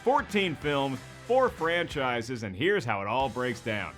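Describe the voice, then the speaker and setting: A man's voice: mid-range voice, very animated, slow pace, fairly bright tone, soft background music. A man hosting a scripted comedic commentary show in a theatrical, tongue-in-cheek announcer style. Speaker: host of a movie face-off web show.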